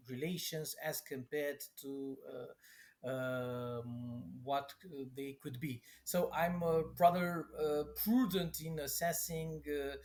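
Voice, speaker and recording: A middle-aged man, a European studies professor answering interview questions carefully and haltingly, with frequent hesitations. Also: mid-range voice, darkish tone. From Romania.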